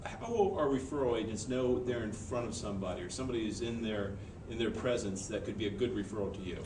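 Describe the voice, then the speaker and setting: A man's voice: on the low side, fast talker. A man hosting a meeting and interviewing a guest, with brief encouraging remarks. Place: meeting room with an audience. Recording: echoey room, mic far off, noisy.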